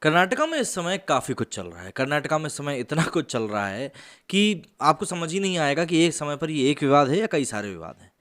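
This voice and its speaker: A man's voice: fairly high pitch, big pitch swings, brisk, a bit bright. A young man reading news reports for his online channel, mostly in formal phrasing with casual asides to the viewers. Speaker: news commentator.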